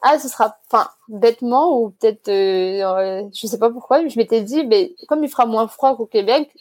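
A woman's voice: on the high side, moderate pace, darkish tone. A woman in her twenties answering as a guest in a casual interview, chatty and explanatory, given to easy laughter. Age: 20s.

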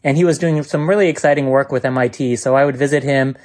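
A man's voice: medium pitch, quick pace, a little mellow. A man, a venture fund co-founder, speaking confidently and articulately as a podcast guest. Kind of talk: podcast interview.

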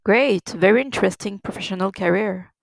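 A woman's voice: mid-range voice, a little fast, creaky, no room sound. A woman hosting a podcast, reading a formal, polite introduction and asking interview questions. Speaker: podcast host.

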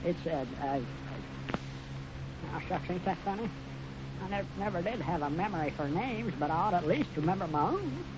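Dim folksy cowboy, drawling, stammering, swooping intonation, muffled noisy old radio western. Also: male voice, high-pitched, expressive, normal speed, pauses often. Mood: befuddled. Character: dim-witted folksy cowboy sidekick with amnesia, turning cocky. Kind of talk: 1950s radio western acting.

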